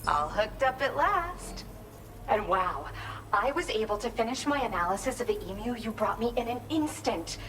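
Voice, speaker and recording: A woman's voice: medium pitch, noisy background, lightly acted. A woman acting as an earnest, hopeful scientist in a video game, explaining things in an articulate way. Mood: pleased. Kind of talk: scripted game cutscene dialogue, expository.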